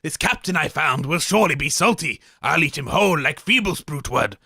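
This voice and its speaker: A man's voice: a little high, animated, brisk, sharp tone, breathy edge, no echo, clean studio sound, exaggerated voice. A man voicing a gruesome, man-eating cave monster in rhyming verse. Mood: gloating. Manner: rhyming villainous recitation. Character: hungry male guzzard monster, gruesome.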